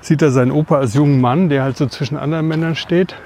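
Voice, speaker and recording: A man's voice: a little high, steady tone, fast talker, dark tone, a little hiss or hum. A man in his forties from the Odenwald in southern Hesse, co-hosting a podcast and telling rambling personal anecdotes in casual conversation. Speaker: podcast co-host.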